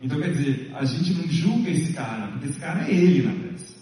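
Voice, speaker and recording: A man's voice: on the high side, expressive, fast talker, dark tone. A man, a playwright speaking at a press conference, thoughtful, reasoning aloud with small restarts. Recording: echoey hall, mic far off.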